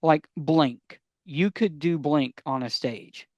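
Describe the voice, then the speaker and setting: A man's voice: on the high side, takes it slowly, no room sound, muffled recording. A man co-hosting a casual podcast, explaining things emphatically and often posing questions that he answers himself. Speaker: podcast co-host.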